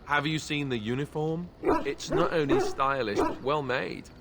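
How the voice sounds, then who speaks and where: An actor playing a wry, self-deprecating young warrior in a fantasy video game, with a joking, sarcastic manner. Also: male voice, on the high side, moderate pace, very noisy, lightly acted. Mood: playful sarcasm.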